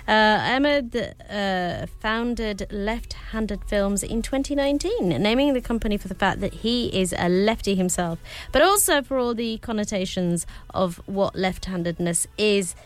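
A woman hosting a casual celebrity commentary, pausing often with filler sounds as she reads out facts. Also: medium pitch. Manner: hesitant, many filler sounds. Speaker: host.

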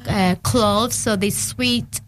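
A middle-aged woman guest on a radio interview, explaining her small business eagerly and warmly, with the odd restart. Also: medium pitch, fairly even intonation, slow pace.

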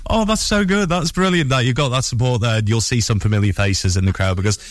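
A man's voice: mid-range voice, fast, dry recording. Guy hosting breakfast radio, upbeat and gushing, dry close sound. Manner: upbeat, enthusiastic, many fillers. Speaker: radio show host. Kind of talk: casual interview chat. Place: theatre backstage.

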